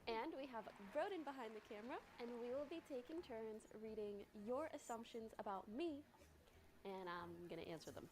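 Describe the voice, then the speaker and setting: Woman from morocco, creator, fairly high mellow voice, animated sit-down chat. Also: moderate pace, slight background noise. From Morocco, raised in Canada. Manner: animated and chatty, laughs often. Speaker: content creator and host. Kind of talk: casual sit-down Q&A.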